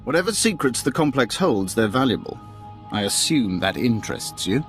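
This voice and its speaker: An actor playing a proud, businesslike imperial general briefing someone in a video game, in a formal, measured manner. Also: male voice, mid-range voice, sing-song, faint music behind. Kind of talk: video game cutscene acting.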